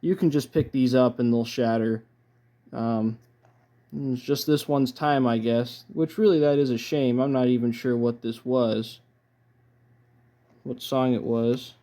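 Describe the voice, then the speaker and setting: Low-key guy talking through an unboxing. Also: fairly even intonation, unhurried, warm tone. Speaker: record collector presenting his haul. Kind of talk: casual unboxing commentary.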